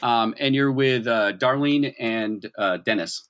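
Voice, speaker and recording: Game master, male, bright and forceful tone, casual improvised narration with a halting delivery. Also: mid-range voice, clear, resonant tone.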